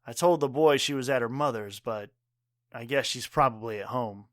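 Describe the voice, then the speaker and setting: A man's voice: mid-range voice, moderate pace, dry recording, studio quality, natural voice. An actor playing a proud, wounded war veteran father and bartender in an audio drama, speaking earnestly and tersely. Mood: pained, proud.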